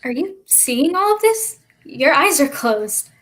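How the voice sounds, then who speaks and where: A woman performs a child in a staged play reading. The voice sits high, and the kid is restless and defiant, badly needing a playmate. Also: very animated, takes it slowly, fairly bright tone, heavily acted. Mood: curious, eager.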